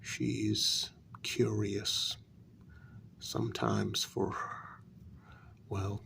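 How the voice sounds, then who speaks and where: A man voicing a measured, insinuating vampire who serves his queen, in a soft-spoken, mostly whispered ASMR role-play with deliberate, drawn-out pauses. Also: fairly low pitch, flat delivery, gravelly, a little hiss or hum, heavily acted. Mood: quietly insinuating.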